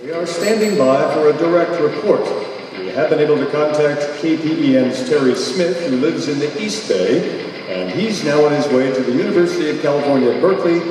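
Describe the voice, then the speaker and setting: An actor playing an earnest, formal newsroom announcer reading a bulletin in a radio play. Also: male voice, fairly high pitch, natural voice. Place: auditorium stage. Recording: very echoey hall, distant, noisy.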